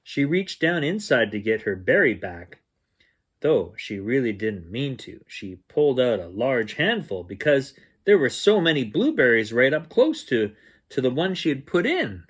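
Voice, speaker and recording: A man reads a children's bedtime story in a husky voice. His pitch moves around a lot, giving the storybook narration an expressive, playful shape. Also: unhurried. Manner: expressive, acts out sounds and dialogue. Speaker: storyteller reading a children's picture book. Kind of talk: animated bedtime story reading with playful asides.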